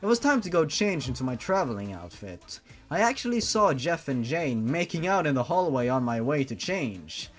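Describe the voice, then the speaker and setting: A man's voice: fairly high pitch, big pitch swings, moderate pace, few pauses, faint music behind. A man reading a story aloud for comedy, breaking off into mocking, incredulous asides. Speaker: reader and commentator. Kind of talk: sarcastic comedy reading of fan fiction.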